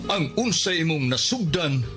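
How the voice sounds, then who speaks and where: A man's voice: fairly high pitch, very animated, normal speed. A man with a Cebuano accent narrating a scripted radio drama introduction in a dramatic style. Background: loud music.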